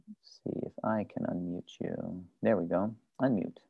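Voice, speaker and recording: Very low voice, vocal fry, patient instructive host, casual group video call. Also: unhurried, narrow, tinny audio. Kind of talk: casual meeting facilitation, guiding introductions.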